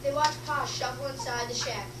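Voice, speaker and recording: A young girl reads story narration from a script in a high voice. She sounds distant, in an echoey room with clear background noise. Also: normal speed. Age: child or young teenager. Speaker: narrator in a student readers theater. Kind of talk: scripted narration read aloud.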